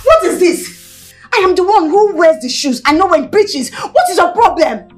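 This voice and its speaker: List: high lively voice, woman playing impatient girlfriend, scolding, mocking, soft music behind. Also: moderate pace. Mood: indignant. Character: frustrated young woman tired of waiting to marry. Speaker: actor. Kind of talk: Nigerian film drama acting.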